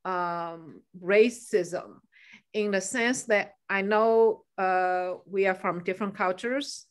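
A woman's voice: mid-range voice, takes it slowly. A middle-aged woman from China, a native Chinese speaker, answering questions on a video call, with many fillers and restarts as she thinks aloud. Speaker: guest speaker. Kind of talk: answering questions in an online meeting. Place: home room by a staircase.

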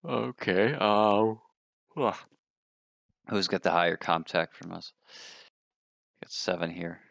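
A man's voice: low voice, slow, creaky, a bit bright, no echo. A man chatting casually as a player in a tabletop roleplaying game.

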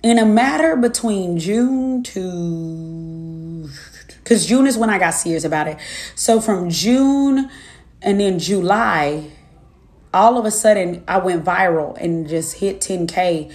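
A woman's voice: lowish voice, takes it slowly, a little mellow, roomy sound. A woman in her twenties, a minister and life coach, preaching her testimony to viewers in an emphatic, repetitive cadence. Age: 20s.

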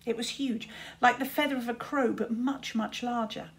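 Female storybook reader, middle-aged, higher-pitched voice, expressive and dramatic delivery. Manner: deliberate, dramatic emphasis. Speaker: teacher reading to pupils. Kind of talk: expressive children's storybook narration. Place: small room indoors.

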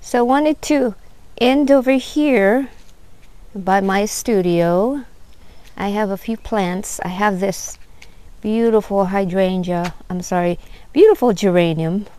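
A woman gives an unhurried, admiring tour of her backyard garden, filming on a handheld phone outdoors. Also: medium pitch, warm tone, clean tone, dry recording. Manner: unhurried, admiring, many fillers. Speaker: gardener vlogger. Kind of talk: casual garden tour narration.

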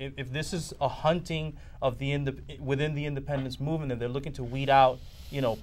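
Man in his thirties, mid pitch, emphatic, restarts and repeats words, TV studio panel. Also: slight background noise. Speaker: panel guest. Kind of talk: panel discussion.

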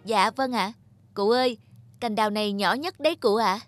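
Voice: mid-range voice, normal speed, fairly bright tone, dry recording, lightly acted. An earnest young male seller from a cartoon, voiced by an actor. He talks politely and sincerely to his elders, with music behind him. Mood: kind, earnest.

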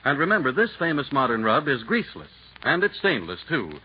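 Lively male announcer on old lo-fi radio. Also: mid-range voice, brisk, slightly rough. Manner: lively, hearty, jokey announcer delivery. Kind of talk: 1940s radio announcing and ad reading. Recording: dull lo-fi 1940s radio broadcast with faint hiss.

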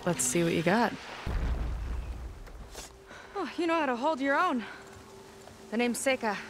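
A woman's voice: fairly high pitch, big pitch swings, a little slow, background noise. An actress playing a tough, confident young female warrior in a video game, blunt and cocky. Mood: brisk, self-assured. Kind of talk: video game cutscene acting. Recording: music behind the voice.

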